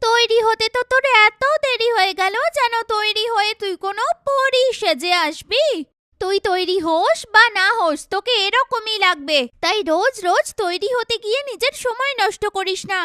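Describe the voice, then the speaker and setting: A woman voicing a vain, sharp-tongued young woman in a cartoon, with a blunt, complaining, mocking manner. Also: very high voice, normal speed, no echo, clean studio sound. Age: young adult. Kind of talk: cartoon dialogue.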